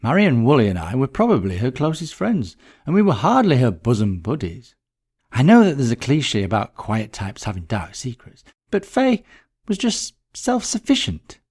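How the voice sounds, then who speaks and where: A man's voice: mid-range voice, wildly swooping pitch, normal speed, darkish tone, breathy edge, pitched above the performer's own voice, heavily acted. A man voicing a distressed, bitter woman in a mystery story, talking in a dramatic, gossipy, emphatic way. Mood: emphatic, dismissive. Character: distressed, bitter middle-class woman recounting a friend's death.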